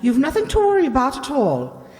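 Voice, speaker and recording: A woman playing a brisk, soothing official in an old-time radio drama. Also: high-pitched, huge pitch swings, dark tone. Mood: reassuring. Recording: echoey hall stage performance.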